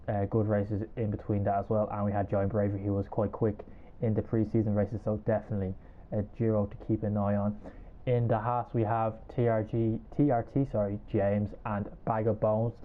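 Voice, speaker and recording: A man with an Irish accent hosting a casual podcast, chatty and hedging as he runs through a list. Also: on the low side. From Ireland. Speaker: podcast host. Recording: tinny phone-like call audio.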